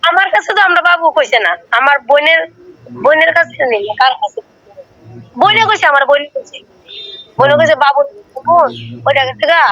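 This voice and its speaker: A woman's voice: high voice, lively intonation, brisk, bright, forceful tone, highs cut off. A woman with an eastern Bengali dialect in casual domestic talk on a recorded call. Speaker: wife on a call with her husband. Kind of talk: casual domestic phone chat, arguing.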